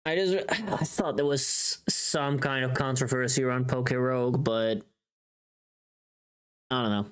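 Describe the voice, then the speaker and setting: Casual livestream host, medium pitch, loose rambling chat, often muttering to self between tasks. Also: measured pace, pauses often, clean tone. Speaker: livestreamer, voice actor.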